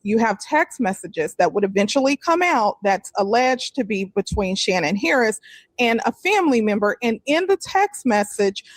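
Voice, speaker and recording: Higher-voiced woman narrator, dry close sound. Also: no room sound. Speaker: narrator of a true-crime commentary. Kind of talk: scripted narration.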